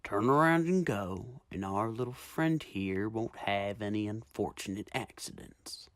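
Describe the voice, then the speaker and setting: A woman voicing a cruel, smirking gangster man in a gravelly, taunting drawl for a story reading. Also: a bass voice, lively intonation, unhurried, no echo, voice pushed down. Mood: smug taunting.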